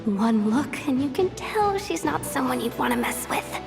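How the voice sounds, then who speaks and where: An actress voicing an earnest, observant young girl in a video game, chatty and expressive. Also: high voice, moderate pace, gravelly, music in the background. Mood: wary, musing.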